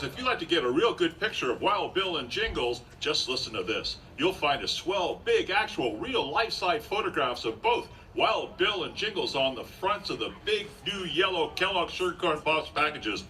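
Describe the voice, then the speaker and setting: A man's voice: a little high, sing-song, normal speed, hardly any pauses, sharp tone, soft background music. A man narrating as a 1950s-style radio announcer on a live stage, with an emphatic showman's delivery. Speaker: announcer in a radio show re-enactment. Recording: distant mic, faint noise.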